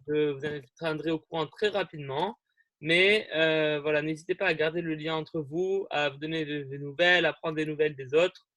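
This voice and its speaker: Young man rambling warmly on a video call. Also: on the high side, no echo. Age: young adult. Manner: friendly, rambling, many fillers and restarts. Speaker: youth group leader hosting an online meeting. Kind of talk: casual talk to a group on a video call.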